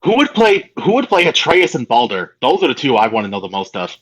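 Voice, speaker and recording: Casting: male podcast voice, medium pitch, lively intonation, eager slangy questions in casual conversation. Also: fast talker, poor audio. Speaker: podcast host. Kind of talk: casual chat.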